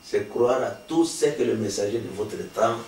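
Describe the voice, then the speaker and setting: A man's voice: on the low side, moderate pace, darkish tone, echoey room. Man, pastor from Congo, earnest explaining, distant mic, faint hiss. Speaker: pastor, guest. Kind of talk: sermon-like interview answers. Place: small studio with patterned wallpaper.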